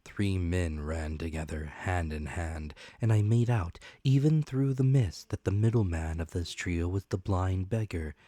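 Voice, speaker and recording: A man's voice: sits low, measured pace, hardly any pauses, warm tone, no echo. A man narrating an adventure story as a dramatic, scripted audiobook reading.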